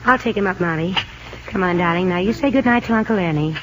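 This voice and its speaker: An actress playing a loving, anxious young wife with an American accent, earnest and gentle, in a 1950s radio drama. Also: female voice, fairly low pitch, fast, heavy background noise, lightly acted. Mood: delighted. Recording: dull 1950 radio broadcast, music behind.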